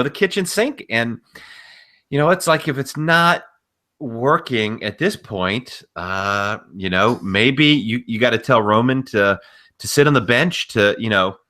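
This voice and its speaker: A man's voice: mid-range voice, sharp tone. A man co-hosting a podcast, giving emphatic, opinionated commentary on wrestling in a casual conversational style. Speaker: podcast co-host.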